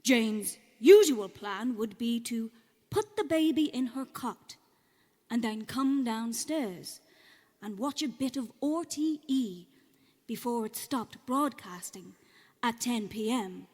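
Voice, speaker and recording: An actress with an Irish accent playing a nervous, game audience member, haltingly reading a script aloud on stage. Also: female voice, on the high side, expressive, darkish tone. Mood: uneasy storytelling. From Ireland. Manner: hesitant, halting. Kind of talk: live storytelling performance, reading from a script. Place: on stage at a live cellar event. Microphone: stage microphone.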